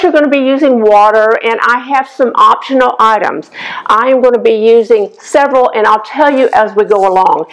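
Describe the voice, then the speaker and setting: An older woman with a slightly raspy voice hosts a casual cooking demonstration in her home kitchen, talking the viewer through each step. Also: on the high side, low-quality recording. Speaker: cooking show host.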